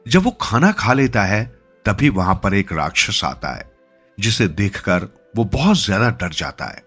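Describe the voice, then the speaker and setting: A man narrating a scripted moral story as a storyteller, in an expressive manner. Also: fairly low pitch, a little slow, breathy edge. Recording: music behind the voice. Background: background music.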